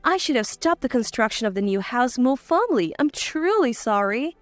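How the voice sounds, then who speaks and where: A woman's voice: on the high side, very animated, normal speed, dry recording, faint music behind. A woman voicing a supportive older mother in a dramatized story, earnest and motherly. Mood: apologetic.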